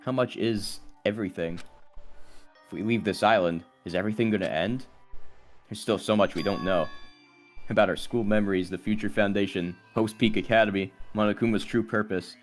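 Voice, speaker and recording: Male actor as earnest teen protagonist, troubled and brooding, low register, dramatic anime game delivery over music. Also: a little fast, close to an everyday voice. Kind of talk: anime game dub, dramatic inner monologue and confrontation.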